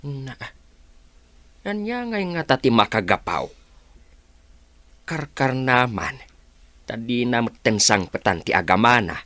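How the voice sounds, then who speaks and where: A man's voice: mid-range voice, sing-song, slow pace, pauses often, sharp tone, no echo, full character voice. A man acting a questioning older village father in an Ilocano radio drama. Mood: troubled.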